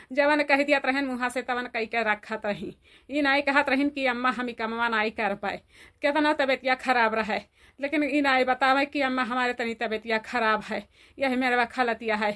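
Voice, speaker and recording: A woman with an Awadhi accent playing a grieving, middle-aged village mother-in-law in a cartoon drama, plaintive and drawn-out in her lamenting. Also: high-pitched, a bit bright. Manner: plaintive, drawn-out lamenting, breaking into mournful song. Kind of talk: amateur cartoon drama dub.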